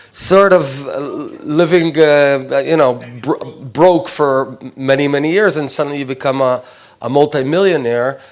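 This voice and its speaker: A man in his forties from Israel, a Hebrew speaker talking in English, telling his personal story to a visiting group in a hesitant way with many fillers. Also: mid-range voice. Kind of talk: informal personal talk.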